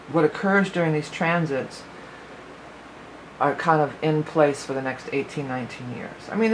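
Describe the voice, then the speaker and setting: A woman's voice: deep voice, a little hiss or hum, poor audio. A woman giving a casual spoken astrology forecast as a psychic reader, rambling and thinking aloud with many fillers, her voice a little worn.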